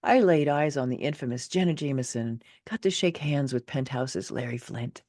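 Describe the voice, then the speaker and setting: A woman's voice: very deep voice, moderate pace, a little mellow, no echo, clean studio sound. A woman reading her own personal story as its author, in a candid, wry, conversational way with casual asides. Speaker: author and narrator. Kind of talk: expressive personal memoir reading.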